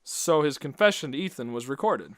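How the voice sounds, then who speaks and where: A man's voice: medium pitch, big pitch swings, fairly quick, no echo. A man hosting and announcing a scripted radio drama in an interviewer's conversational style. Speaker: host and announcer.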